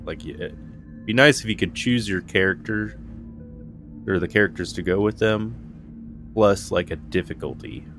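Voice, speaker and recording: A man with a low voice talks through a video game as he plays. It is casual commentary from a gaming host, with game music behind him. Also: normal speed, pauses often, a bit bright.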